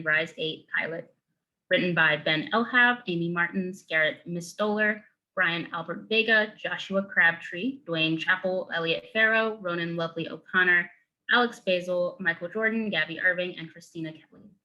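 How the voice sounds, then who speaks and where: A woman's voice: fairly low pitch, normal speed, pushed and bright, highs cut off. A young woman reading scripted narration and stage directions. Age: young adult.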